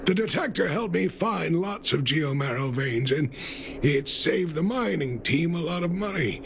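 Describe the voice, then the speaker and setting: An actor playing a gentle, doting middle-aged miner father in a video game, gravelly, tender and patient. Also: fairly high pitch, lively intonation, measured pace, background noise. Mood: tender, reassuring. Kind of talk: video game dialogue acting.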